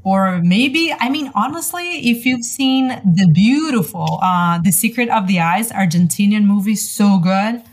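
A woman's voice: medium pitch, animated, unhurried, hardly any pauses. A woman from Brazil co-hosting a podcast, talking in a casual, conversational and questioning way. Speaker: podcast co-host. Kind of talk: casual discussion.

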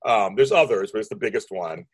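Brief: man, 50s, deep and husky, rapid emphatic interview guest, dry close sound. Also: expressive, dry recording. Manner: rambling, restarts sentences, emphatic. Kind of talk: animated conversational interview.

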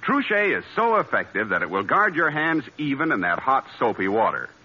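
A man's voice: medium pitch, very animated, fairly quick, pushed and bright, husky. A man announcing in the style of a 1940s radio advertisement. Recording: dull vintage radio recording, faint music.

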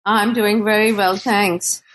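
Thoughtful, deliberate woman, noisy recording. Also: mid-range voice, steady tone, fairly bright tone. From Pakistan. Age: middle-aged. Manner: deliberate, hesitant, searching for words. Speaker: scholar and author being interviewed. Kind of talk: thoughtful academic interview talk.

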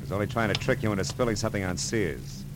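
An actor playing a world-weary, wisecracking private detective in classic radio style, sardonic and terse. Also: male voice, lowish voice, quick pace, noisy background, close to an everyday voice. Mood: sardonic, pressing. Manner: sardonic, terse, hard-boiled. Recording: old radio recording, music behind.

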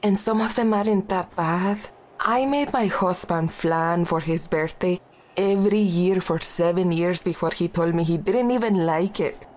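A woman's voice: on the low side, measured pace, a little mellow, natural voice. An actress playing an older widowed woman who is motherly and teasing. She mixes Spanish endearments into her talk. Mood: wry. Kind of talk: audio drama acting.

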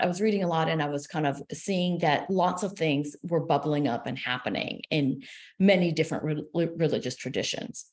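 Lower-voiced woman, Israeli-born scholar. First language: Hebrew. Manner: thoughtful, frequent ums, lively pitch swings. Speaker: sociology professor and author. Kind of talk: interview conversation.

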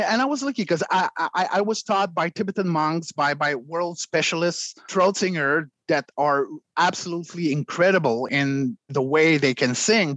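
A man, a guest vocalist, explaining extreme singing in a conversational interview, clearing his throat often between demonstrations. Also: on the high side, fairly bright tone, no echo.

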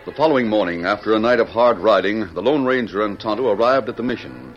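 A man's voice: lowish voice, lively intonation, quick pace. A man narrating a vintage radio western as its announcer, grand and declamatory in delivery. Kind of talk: 1940s-50s radio scripted narration. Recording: muffled old radio broadcast with music. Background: music.

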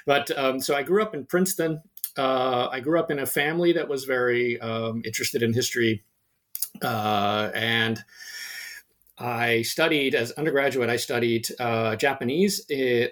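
An older American man giving a conversational interview answer, halting often to correct himself as he goes. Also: medium pitch, a bit bright. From United States, New Jersey. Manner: halting, hesitant phrasing with frequent self-corrections. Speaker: interview guest, history professor.

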